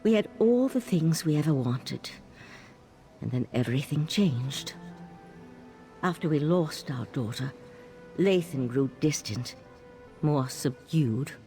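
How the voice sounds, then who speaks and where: An actress playing a troubled, sardonic mage in a video game, speaking in a weary, confiding way. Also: female voice, low-pitched, warm tone. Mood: grieving, subdued. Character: troubled, sardonic female Psijic mage, grieving mother. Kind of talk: video game character dialogue. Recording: game music behind.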